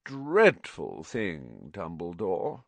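A man voicing a smooth, sneering aristocratic villain in a story, with a lazy, condescending drawl and mocking politeness. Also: fairly low pitch, huge pitch swings, unhurried, dry recording, voice pushed up, full character voice. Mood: smug, mocking.

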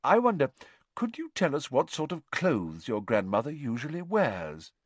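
A man voicing a kindly, cheerful male professor in a gently theatrical, encouraging manner. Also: medium pitch, wildly swooping pitch, moderate pace, husky, no echo, voice pushed up. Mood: cheerful teacherly. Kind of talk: audiobook character voice.

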